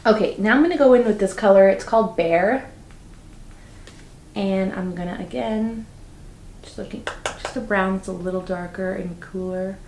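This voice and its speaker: Upbeat beauty vlogger lady, bit raspy from just waking up, kinda echoey room. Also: mid-range voice, normal speed, pauses often, a little mellow, a little hiss or hum. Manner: chatty, upbeat, lots of "like" fillers. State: slightly raspy, just woke up. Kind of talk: casual makeup tutorial talk.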